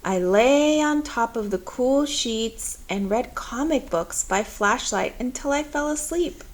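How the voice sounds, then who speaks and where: A woman reading a children's picture book aloud in a measured, expressive storytelling manner. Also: a little high.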